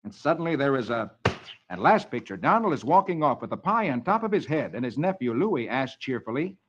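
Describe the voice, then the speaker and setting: Wildly animated jolly radio storyteller. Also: male voice, mid-range voice, brisk. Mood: jolly, lively. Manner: animated, singsong, exaggerated announcing. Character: jolly Comic Weekly Man reading funnies to a child. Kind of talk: 1940s radio storytelling, theatrical scripted narration. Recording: scratchy muffled vintage radio recording.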